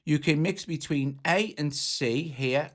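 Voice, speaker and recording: A man's voice: on the high side, slow. A man presenting a music app tutorial in a casual, informal, hands-on explaining way.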